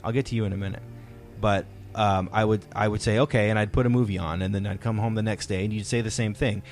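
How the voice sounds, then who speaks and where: A man's voice: lowish voice, fairly even intonation, quick pace, soft background music. A man in his thirties, a father hosting a podcast, casually telling personal stories to his children. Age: 30s. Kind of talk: casual chat, personal storytelling.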